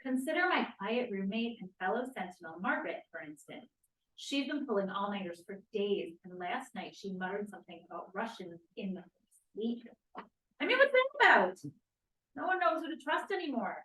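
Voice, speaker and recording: A woman's voice: medium pitch, very animated, moderate pace, fairly bright tone, a little hiss or hum. Actress as a swooping, sarcastic young woman, roomy. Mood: sarcastic, distrustful. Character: suspicious, sarcastic young 1950s American woman scientist, alarmist conformist. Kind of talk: 1950s period drama read at a table read. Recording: echoey room, distant microphone.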